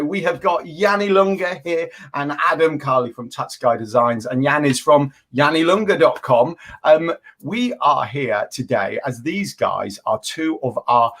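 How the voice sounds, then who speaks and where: A man's voice: a little high, a bit bright. A man hosting a live stream who talks in a bubbly, enthusiastic way, with frequent stumbling restarts. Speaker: host.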